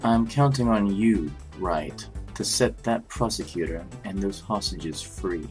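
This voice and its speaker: A man voicing a composed, formal male prosecutor in a game fan dub, speaking in a measured way. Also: low-pitched, animated, soft background music. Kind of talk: amateur game fandub acting.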